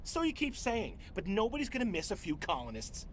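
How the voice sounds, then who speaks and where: An actor playing a desperate, snappish corporate villain in a video game, agitated and stammering. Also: male voice, sits high, huge pitch swings, fairly quick, slight background noise. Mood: flustered, cynical. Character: jittery male corporate boss villain, desperate, snappish, cornered.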